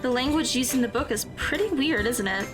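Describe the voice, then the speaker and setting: A woman playing an awkward, nervous high school girl in an audio drama, a little hesitant, with music behind her. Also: animated, a little fast. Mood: guarded. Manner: hesitant, stammering when flustered.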